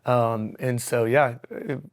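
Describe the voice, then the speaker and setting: A young man talks in a relaxed, upbeat way, his voice hoarse and raspy from a weekend of yelling. He keeps the tone casual and full of little fillers, in a middle register. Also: mid-range voice, slow pace. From Missouri Ozarks. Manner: upbeat, animated, many fillers. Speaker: dentist guest. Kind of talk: casual interview.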